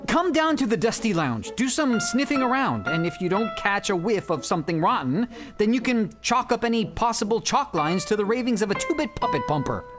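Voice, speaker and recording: A struggling entertainer in a noir audio drama, lovelorn and anxious. The voice moves up and down animatedly, wry and self-pitying, with music underneath. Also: male voice, sits high, big pitch swings, a little fast, hardly any pauses. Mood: wry, insistent.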